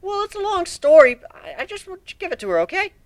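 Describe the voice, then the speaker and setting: A man putting on a high-pitched cheeky young dragon. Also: fast, heavily acted. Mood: bashful, excited. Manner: grumbling, boyish, excitable. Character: young male dragon, cheeky, eager, easily smitten.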